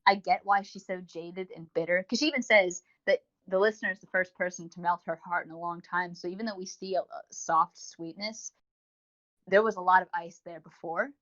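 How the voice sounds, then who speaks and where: Woman, lowish pitch, casual podcast review chat, rambling, enthusiastic, muffled lo-fi sound. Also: fairly even intonation, brisk, a bit bright. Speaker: podcast co-host. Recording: dull lo-fi voice recording.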